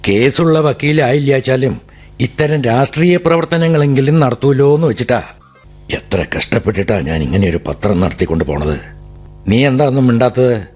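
A man's voice: mid-range voice, lively intonation, normal speed, darkish tone, breathy edge. An actor playing a tired older father who runs a newspaper, sighing and pressing his daughter. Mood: weary, pressing. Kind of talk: radio drama acting. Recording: vintage radio broadcast with music.

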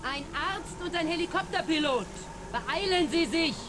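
An actress playing a determined hijacker, calm and threatening, in radio play dialogue. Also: female voice, extremely high pitch, normal speed, background noise. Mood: resolute, threatening. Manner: calm, resolute.

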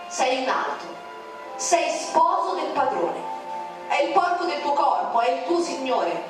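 A woman reciting a poem in a declamatory way, with sharp swings in pitch, over music in a very echoey recording. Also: recorded from a distance. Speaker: poetry reader. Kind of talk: dramatic poetry recitation.

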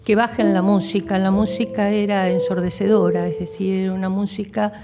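A woman's voice: medium pitch, level tone, fairly quick. A woman giving spoken testimony, explaining and rephrasing with some hesitation. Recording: loud music under the voice. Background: loud music.

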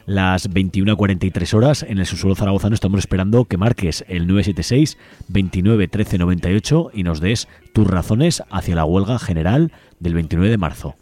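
A man's voice: low-pitched, fairly quick, warm tone, dry recording. A man with an accent from Spain presenting a live radio show and inviting listeners to call in. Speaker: radio host.

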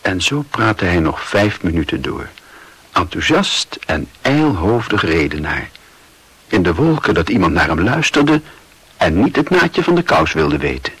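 Male narrator for literary radio drama, lively intonation, slightly rough-breathy tone, close dry sound. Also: deep voice, unhurried, no echo.

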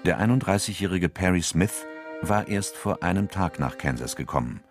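Deep-voiced, sober male narrator. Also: animated. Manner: measured, sober, factual. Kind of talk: scripted literary crime narration, radio drama. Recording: faint music behind.

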